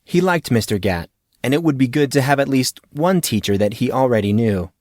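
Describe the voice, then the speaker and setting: A male audiobook narrator reads a scripted fantasy story. His voice sits at a medium pitch, and the recording is dry and close, with very little room in it. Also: expressive, fairly quick, darkish tone, no echo.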